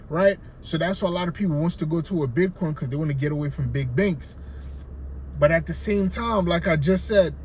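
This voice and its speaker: A man's voice: a little high, darkish tone, slight background noise, low-quality recording. A man with a Haitian accent talking casually to his viewers, rambling and checking in with "right?" again and again. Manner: loose, rambling, frequent "right?" check-ins. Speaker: finance vlogger. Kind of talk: casual talk to camera.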